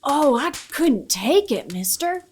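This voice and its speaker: A woman's voice: high voice, sing-song, slow, darkish tone, slight background noise, exaggerated voice. A woman playing an earnest, polite young country boy in a western radio play. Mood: hesitant.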